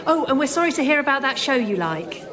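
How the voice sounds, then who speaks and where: A woman acting in a radio comedy sketch as a fond, gently concerned British mother. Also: high voice, very animated, quick pace, over a noisy crowd, heavy background noise.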